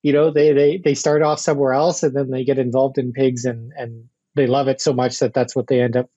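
Male podcast host, dark mellow voice, halting casual delivery, repeated words, dry close sound. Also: medium pitch, flat delivery, no echo, dull audio. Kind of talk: casual interview talk.

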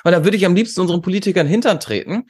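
Outspoken man with a higher-pitched voice. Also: racing, darkish tone, breathy edge. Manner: emphatic, outspoken, rhetorical questions. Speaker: podcast guest, conference founder. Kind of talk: opinionated interview talk.

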